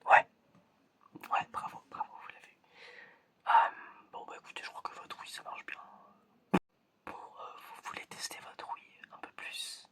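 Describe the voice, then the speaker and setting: A person whispering in a breathy, almost voiceless way in an ASMR roleplay as an examiner, halting and repeating short phrases. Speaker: ASMR creator playing an examiner giving a five senses test.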